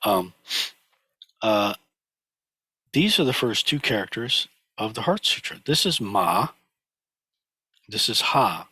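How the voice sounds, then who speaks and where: A man teaching a class over slides, a little hoarse from a cold, halting with many fillers and restarts. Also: fairly low pitch, very animated, pushed and bright, no echo. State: recovering from a cold, slightly hoarse.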